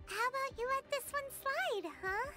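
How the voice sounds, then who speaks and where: A woman's voice: very high voice, normal speed. A woman voicing a tiny, cheeky, childlike fairy companion in a video game. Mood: hesitant, pleading. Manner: childlike, third-person self-reference, exclamatory. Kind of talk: English game voice acting. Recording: loud music under the voice. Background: music.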